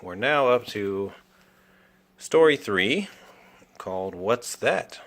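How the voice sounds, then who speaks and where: A man reading a children's story aloud in an animated storytelling style. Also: fairly low pitch, slow, a little hiss or hum. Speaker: children's book reader.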